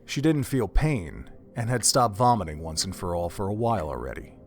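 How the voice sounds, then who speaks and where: A man's voice: on the low side, big pitch swings, normal speed. A man reading scripted narration for an audio drama in a solemn, literary storytelling manner.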